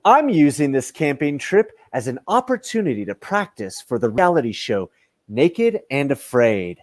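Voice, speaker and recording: A man's voice: mid-range voice, sing-song, moderate pace, no echo, clean studio sound, lightly acted. A middle-aged man playing a cocky, boastful outdoorsman superhero in a comedy radio play. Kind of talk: radio comedy acting over video call. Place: room at home, video call.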